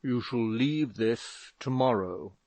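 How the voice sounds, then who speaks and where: A man voicing a stern, pompous elderly father who scolds slowly and loudly. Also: no room sound, natural voice. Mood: furious. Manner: booming, slow and indignant.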